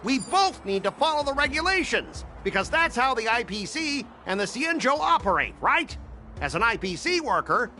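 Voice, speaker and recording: A high-voiced, blustering, irritable corporate trader. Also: male voice, sharp tone, a little hiss or hum, full character voice. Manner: exasperated, sarcastic, theatrical. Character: irritable, blustering middle-aged male corporate cargo trader. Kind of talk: video game voice acting.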